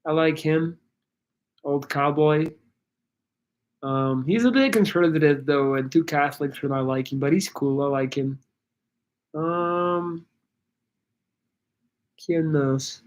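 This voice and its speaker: A man from Belgium chatting casually on a livestream, answering viewer questions in a rambling, halting way with many restarts. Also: on the high side. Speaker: YouTuber answering live chat.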